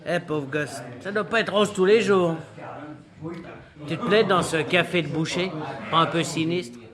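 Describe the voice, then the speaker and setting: An actor in a French radio drama playing a jovial, chatty, inquisitive cousin with a hearty, colloquial manner. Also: male voice, high-pitched, a little slow. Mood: sympathetic. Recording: busy café chatter behind. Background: café crowd chatter.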